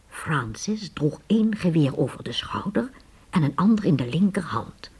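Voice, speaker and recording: A woman with a husky voice narrating a radio play as a dramatic, scripted storyteller. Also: on the low side, a little mellow.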